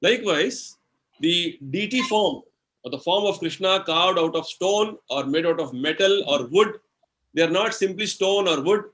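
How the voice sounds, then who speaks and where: A man with an Indian English accent explaining spiritual ideas in a devotional lecture, slow and explanatory, using everyday examples and many "you know"s. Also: high voice, a bit bright. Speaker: spiritual speaker answering questions.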